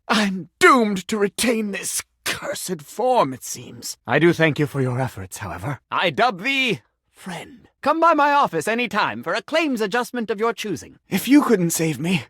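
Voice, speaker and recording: An enchanted male sink in a video game who believes he is a grand claims adjuster. He is pompous and melodramatic, with florid formal diction. His pitch leaps about freely, and the sound is close and dry. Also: sharp tone, no room sound, heavily acted. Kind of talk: video game character acting.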